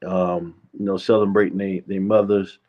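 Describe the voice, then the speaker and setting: A man's voice: low voice, flat delivery, normal speed. A man chatting on a podcast in a laid-back, rambling way, full of fillers. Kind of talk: casual podcast chat. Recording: dull lo-fi sound.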